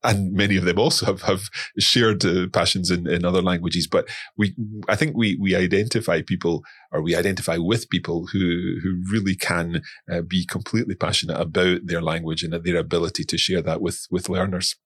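A man's voice: low-pitched, fairly even intonation, a little fast, fairly bright tone, husky, no echo. A man, a guest on a podcast, talking casually and often repeating words as he searches for them. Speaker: podcast guest, language course creator. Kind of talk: casual interview talk.

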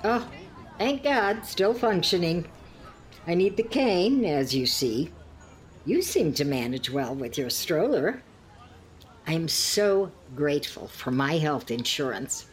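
A woman performs an elderly lady in a radio-play style, genteel and passive-aggressive. Her delivery is slow and very expressive, on the lower side for a woman. She sighs and needles politely, with some noise behind her. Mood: chatty, content. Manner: prim, sighing, pointedly polite barbs. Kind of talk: scripted two-hander audio comedy-drama.

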